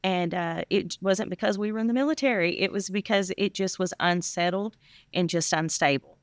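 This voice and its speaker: A woman's voice: lowish voice, moderate pace, dry recording. A woman interview guest giving casual personal testimony in an earnest, emphatic way.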